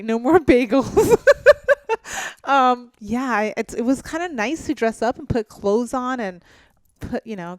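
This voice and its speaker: A woman in her thirties co-hosting a casual podcast, frank and quick to laugh. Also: a little high, no room sound. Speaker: podcast co-host. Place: living room at home.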